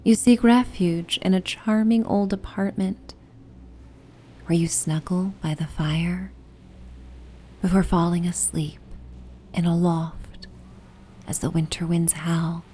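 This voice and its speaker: A woman narrating a soothing sleep meditation and bedtime story in a gentle, soft, half-whispered, breathy voice. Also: lowish voice, slow pace, long pauses. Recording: steady ocean and wind ambience noise. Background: ocean and wind ambience.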